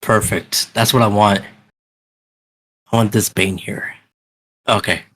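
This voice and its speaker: A man's voice: lowish voice, steady tone, a bit bright. Eager man hosting a podcast chat. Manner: enthusiastic, quick restarts. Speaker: podcast host. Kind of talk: casual chat.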